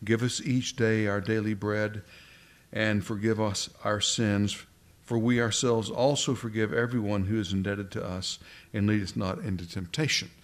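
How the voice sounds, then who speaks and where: A man's voice: on the low side, level tone, moderate pace. A man preaching a Bible sermon, often repeating words as he searches for the next phrase.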